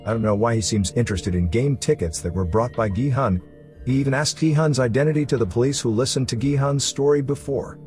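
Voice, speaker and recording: A man's voice: medium pitch, a little fast, darkish tone. A man reading a scripted story recap as a narrator. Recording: music running behind the voice. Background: background music.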